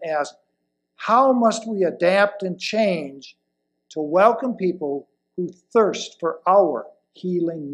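Older man, creaky voice, slow sermon. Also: a little high. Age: older, around 70s or 80s. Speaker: lay presenter reading a sermon. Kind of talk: sermon read from a pulpit. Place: indoors at a wooden lectern in a church hall. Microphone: lapel mic.

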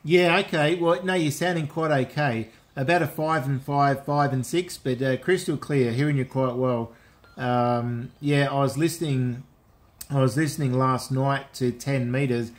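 A man's voice: medium pitch, fairly even intonation, a little slow. A man with an Australian accent making a casual, matey amateur radio contact, using radio call phrases. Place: indoors at a radio set.

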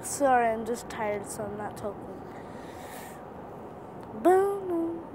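A young woman casually commenting on a mobile game she plays, quiet and tired with sudden frustrated outbursts. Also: a little high, sing-song, takes it slowly. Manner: sparse, tired chatter with bursts of frustrated outcries and humming. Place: inside a vehicle. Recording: phone screen recording with vehicle noise. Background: vehicle noise.

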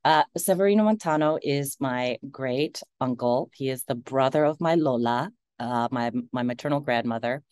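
A low-voiced woman in her forties talks on a video call as an interview guest. She is animated, restarts often and laughs easily. The sound is dry and close. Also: moderate pace, hardly any pauses, smooth, no echo. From United States.

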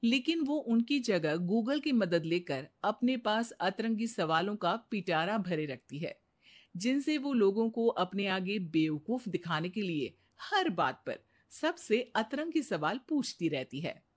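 A woman narrating a scripted animated moral story as a storyteller. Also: mid-range voice, a little slow.

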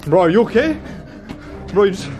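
A man's voice: sits high, very animated, a little fast, darkish tone, faint music behind. A young man filming his friend, reacting with alarmed, urgent chatter and calling everyone "bro". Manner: alarmed, urgent, repeats "bro" and "chill" constantly. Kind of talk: casual reactive commentary.

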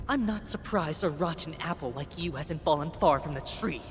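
A woman's voice: low-pitched, expressive, normal speed. A woman voicing a self-righteous, easily enraged childlike angel villain in a video game, pompous and declamatory. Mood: bitter scorn. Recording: long reverb under music.

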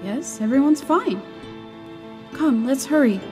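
A woman playing an earnest, gentle young adventurer in a fantasy game fan dub. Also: high-pitched, expressive, slow pace, soft background music. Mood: concerned.

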